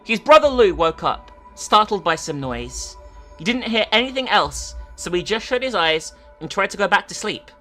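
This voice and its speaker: A woman's voice: medium pitch, big pitch swings, moderate pace, sharp tone. A woman narrating and commenting in a dramatic but casual story-reading style. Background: faint music.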